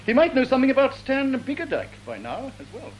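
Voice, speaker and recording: A man's voice: extremely high pitch, huge pitch swings, fast talker, lo-fi sound, exaggerated voice. A man playing a fussy, urbane middle-aged English newspaper editor in a radio drama, pedantic and drily ironic. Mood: wry, inquisitive. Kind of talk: British radio detective drama acting. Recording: faintly noisy radio drama recording.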